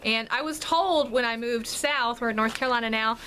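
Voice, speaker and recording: A woman's voice: fairly high pitch, a little fast, bright, forceful tone, a little hiss or hum. A woman with a Chesapeake accent chatting casually at home, rambling and giggling often. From Washington, D.C. metro area. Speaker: vlogger. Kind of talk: casual chat to camera. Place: home in North Carolina.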